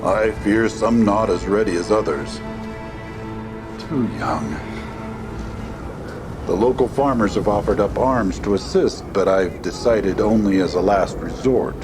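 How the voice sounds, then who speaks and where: A man playing a kindly lord commander in a fantasy audio drama, proud but worried, with measured, formal speech. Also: lowish voice, over music, lightly acted. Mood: grave worry.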